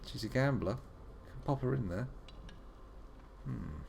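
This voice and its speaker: A man's voice: mid-range voice, expressive, takes it slowly, creaky, darkish tone, slight background noise. A young man streaming a video game live, playful and joking, with muttered asides.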